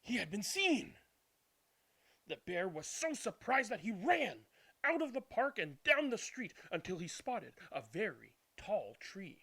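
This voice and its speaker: A male storyteller with a slightly high voice reads a picture book aloud. His delivery swings widely in pitch and leans dramatic, stretching some words and hushing others. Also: sing-song, unhurried. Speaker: read-aloud storyteller and channel host. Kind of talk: expressive children's picture book read-aloud, then casual chat.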